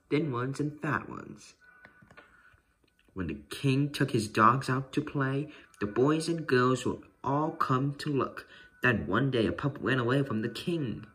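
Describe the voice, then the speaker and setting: A man reads a children's story aloud as the narrator. His voice is bright and clearly projected, with very lively rises and falls. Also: mid-range voice. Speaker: storybook reader.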